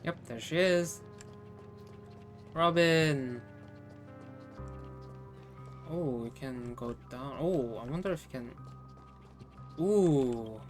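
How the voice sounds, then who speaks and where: A woman's voice: very deep voice, very slow, long pauses, soft background music. A woman giving casual gameplay commentary as she reacts to a game.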